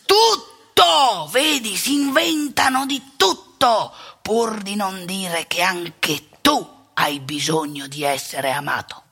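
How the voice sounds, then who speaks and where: A woman playing an outspoken, rebellious elderly male priest in a colloquial theatrical monologue, wry and impassioned, with a husky, gravelly voice. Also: mid-range voice, lively intonation, slow pace, bright, forceful tone, exaggerated voice. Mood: wry, confiding. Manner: colloquial, confiding, swinging into emphatic indignation.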